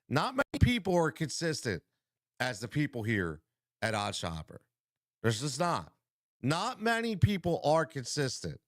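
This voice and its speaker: A man hosting a live sports betting show, energetic and repetitive, talking straight to viewers while congested from a cold. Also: medium pitch, no echo, clean studio sound. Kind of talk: live-stream betting talk.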